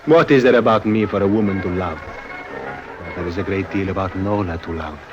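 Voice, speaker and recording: A melancholy Italian circus clown, speaks in a low voice. He is lovesick and theatrical, in the plaintive style of an old radio drama. Music sits faintly under the voice. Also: lively intonation, moderate pace, lo-fi sound.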